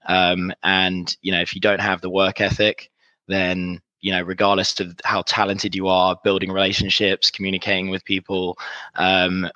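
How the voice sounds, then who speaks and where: Low flat congested man with a cold. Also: no echo. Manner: many fillers and repeated words, earnest. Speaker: recruitment executive, guest. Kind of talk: casual interview answers. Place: remote, in Dallas.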